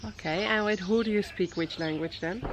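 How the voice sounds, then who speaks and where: A Dutch woman in her thirties, speaking English with a Dutch accent, gently interviewing her daughter for a family vlog at a safari camp. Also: mid-range voice, moderate pace, noisy background. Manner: gentle, encouraging questioner with soft fillers. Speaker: vlogger and mother. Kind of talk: casual interview with her child. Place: outdoors at a tented safari camp. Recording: outdoor birdsong in background. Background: birdsong.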